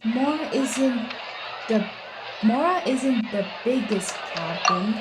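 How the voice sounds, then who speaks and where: A woman streaming gameplay, reading dialogue choices aloud in a halting way, repeating words as she goes. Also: a little high, expressive, music in the background, low-quality recording.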